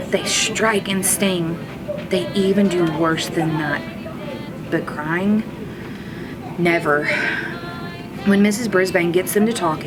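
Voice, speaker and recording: A woman reading a children's storybook aloud like a teacher, expressive, drawing out words for emphasis. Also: on the low side, low-quality recording. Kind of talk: children's storybook read-aloud, first-person narration. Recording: music under the voice. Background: background music.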